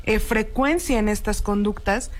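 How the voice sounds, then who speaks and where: A woman, a guest explaining her views in an earnest, steady way on a talk show. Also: medium pitch, a little slow. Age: 30s. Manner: earnest, explanatory, frequent tag questions. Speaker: guest expert. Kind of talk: radio talk show discussion.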